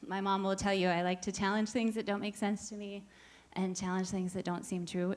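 A woman's voice: on the low side, a little mellow. A woman in her forties from the United States answers questions in a church service, candid and a little nervous, working from notes. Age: 40s. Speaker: church search team member.

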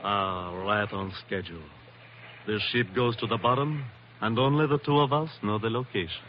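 Actor as conspiring saboteur, noisy muffled radio. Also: male voice, on the low side, normal speed, close to an everyday voice. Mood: conspiratorial. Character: conspiring saboteur, sly then terrified. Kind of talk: 1940s radio acting. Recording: muffled radio drama with loud background noise.

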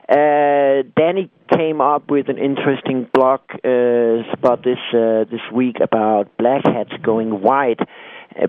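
A man hosting a talk radio show, speaking haltingly with many fillers. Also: medium pitch, no room sound. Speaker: podcast host. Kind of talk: casual radio show chat. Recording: tinny phone-like line.

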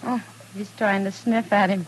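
A woman's voice: mid-range voice, fairly even intonation, normal speed, close to an everyday voice. An American actress playing a wry, plainspoken frontier woman in an old radio western, casual and folksy. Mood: curious. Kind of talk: 1950s radio western acting. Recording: noisy old radio recording.

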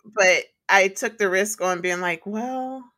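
A talkative woman co-hosting a podcast, with a slight rasp that comes and goes and a pitch that climbs when she gets excited. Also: takes it slowly. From partly the US Midwest and Atlanta. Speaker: podcast co-host and streamer. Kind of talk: casual podcast chat.

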